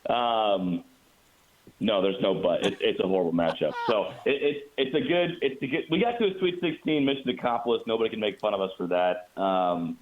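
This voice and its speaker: A man's voice: fairly low pitch, brisk, slight background noise. A man, a hockey announcer, guesting on a sports show by phone, chatting casually with dry, playful humor. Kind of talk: casual joking interview chat. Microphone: phone call. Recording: thin, narrow phone line.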